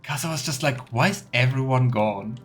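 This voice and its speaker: A man's voice: medium pitch, moderate pace. A man hosting a let's play, chatting casually and musing aloud as he goes. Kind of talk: casual game commentary. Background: game music.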